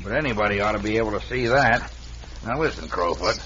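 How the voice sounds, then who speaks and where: An impatient outlaw boss in an old Western radio play. His voice is forceful and bright. The recording is dull, with a faint hiss. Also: on the low side, expressive, quick pace. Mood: scheming. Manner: bossy, impatient. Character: gruff male outlaw ambushing a stagecoach. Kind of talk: 1950s radio drama acting.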